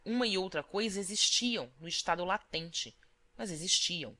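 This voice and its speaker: A woman voicing an austere, pensive male physician who muses deliberately to himself in an audiobook. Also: fairly low pitch, lively intonation, sharp tone. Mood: pensive, self-questioning. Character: austere, pensive male physician-scientist, self-questioning and grave. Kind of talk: audiobook character voice.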